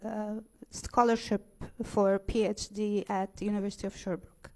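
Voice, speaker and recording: A middle-aged woman with an Egyptian accent, giving testimony as a witness in a hesitant, halting way. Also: mid-range voice, little pitch movement, a little mellow. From Cairo, Egypt. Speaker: witness at a hearing.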